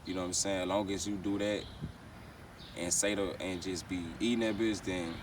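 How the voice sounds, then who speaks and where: A man's voice: sits low, steady tone, moderate pace. An American man, a rapper being interviewed, chatting casually with heavy slang and frequent verbal tags. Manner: slangy, repeats verbal tags like "you know what I'm saying". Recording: noisy, distant mic, outdoor street ambience.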